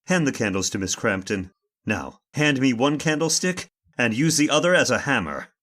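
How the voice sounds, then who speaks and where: Resolute master of the hunt, male actor: mid-pitched, lively intonation, commanding, vintage radio drama. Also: moderate pace, natural voice. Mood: firm, commanding. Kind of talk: old-time radio drama acting.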